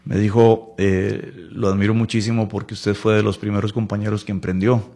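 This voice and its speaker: A Costa Rican man in his late forties speaking as an interview guest, in a halting, reflective, conversational way, often repeating words and adding small tags for agreement. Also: on the low side, little pitch movement, dark tone. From Costa Rica, Palmares in the western Central Valley. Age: late 40s. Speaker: interview guest, writer and designer.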